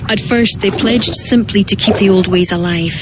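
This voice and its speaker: A woman playing an earnest, sorrowful druid in a video game cutscene, speaking in measured, formal phrases. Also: mid-range voice, over music, natural voice. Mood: regretful, confessional. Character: earnest, sorrowful female druid, former cult member. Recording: game ambience noise behind voice.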